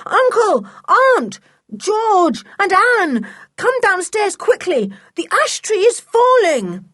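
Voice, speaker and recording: Storybook boy, played by a woman: panicked, high, shouting urgent warnings. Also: sing-song, slow pace, no room sound, clean studio sound, exaggerated voice. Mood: frantic alarm. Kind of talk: children's storybook character voice.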